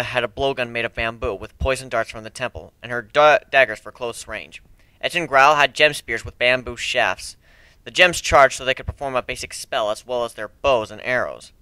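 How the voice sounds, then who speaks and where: A man's voice: mid-range voice, sharp tone, clear, resonant tone, no room sound. A man giving an amateur dramatic reading of a scripted first-person fantasy story.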